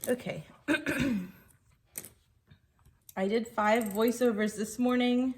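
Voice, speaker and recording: A woman with a slightly croaky voice, chatting casually and thinking aloud while she works on a scrapbook page. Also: on the high side, steady tone, takes it slowly, a little mellow. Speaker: scrapbooking creator. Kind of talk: casual crafting commentary.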